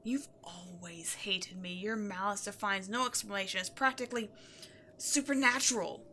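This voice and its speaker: A woman playing an imperious, scheming demon queen in a dramatic audio roleplay, seductive and easily exasperated, moving between outbursts and breathy near-whispers. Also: fairly high pitch, bright, forceful tone, slight background noise. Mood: puzzled, dawning realization. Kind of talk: scripted audio roleplay, theatrical monologue to the listener.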